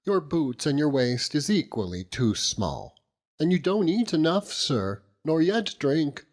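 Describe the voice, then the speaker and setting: A knowing, motherly housekeeper from a storybook, put on by a male reader, pitched high with swooping intonation. She is familiar and gushing, full of folksy advice, and a little husky. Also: sing-song, a little slow. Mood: confiding, knowing. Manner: gushing, folksy uneducated speech. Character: nosy, motherly middle-aged housekeeper woman, familiar and knowing. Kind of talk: dramatised audiobook character reading.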